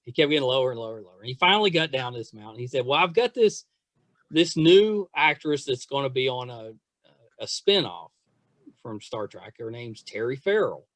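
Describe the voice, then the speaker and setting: A middle-aged man hosting a podcast, chatting and telling stories in an excitable, effusive way, repeating phrases for emphasis. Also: mid-range voice, a little fast, no echo. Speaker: podcast host interviewing a guest. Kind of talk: casual chat, storytelling.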